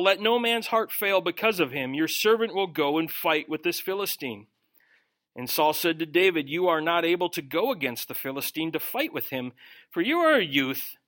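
A middle-aged man preaching a sermon, reading scripture and then commenting in an animated way, often acting out the voices he quotes. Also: sits high. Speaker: pastor. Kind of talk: expository sermon.

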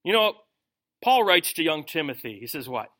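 A middle-aged man preaching a sermon, reading scripture and then commenting in an animated way, often acting out the voices he quotes. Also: on the high side, moderate pace. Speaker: pastor. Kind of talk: expository sermon.

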